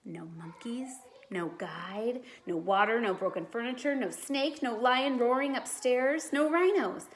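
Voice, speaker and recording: A woman's voice: on the high side, a little slow, hardly any pauses. A woman reading a children's storybook aloud like a teacher, expressively and with dramatic emphasis.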